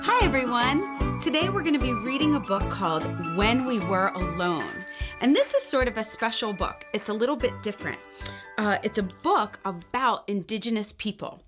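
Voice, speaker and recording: A woman's voice: fairly high pitch, animated, normal speed, over music. A woman hosting a children's read-aloud, reading a picture book and explaining things simply and carefully for young listeners.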